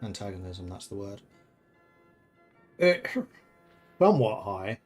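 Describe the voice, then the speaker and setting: A man's voice: fairly low pitch, sing-song, slow pace, soft background music. A man from the Netherlands who grew up in England, streaming a strategy game and thinking aloud in casual commentary, chatting with viewers and laughing often.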